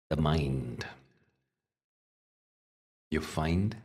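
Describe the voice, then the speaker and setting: Very deep-voiced man guiding meditation slowly. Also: recorded from a distance. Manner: unhurried, gentle, with long pauses between short phrases. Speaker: meditation teacher. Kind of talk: guided meditation with spiritual talk.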